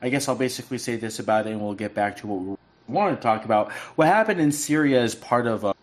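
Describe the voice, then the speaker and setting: A man from the United States, a podcast guest, speaking haltingly with many restarts over remote call audio that cuts in and out. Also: mid-range voice, lively intonation. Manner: halting, with frequent restarts and fillers. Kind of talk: casual podcast chat.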